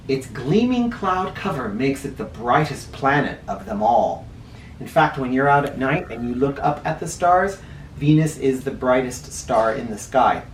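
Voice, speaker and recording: A man reading a picture book aloud to children as host, deliberate and explanatory, with informal teaching asides. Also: medium pitch. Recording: roomy echo, distant mic, faint noise.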